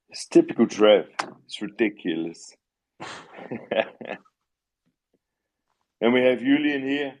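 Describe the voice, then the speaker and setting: Slow halting low-voiced man hosting. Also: rough recording. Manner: halting, many restarts and fillers; apologetic, wry. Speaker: AMA host. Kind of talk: casual live audio chat. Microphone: online audio call.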